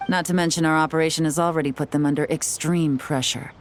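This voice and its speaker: An actress playing a cool, confident intelligence operative in a video game, briefing allies with composed assurance. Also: female voice, sits low, steady tone, quick pace, slight background noise, close to an everyday voice.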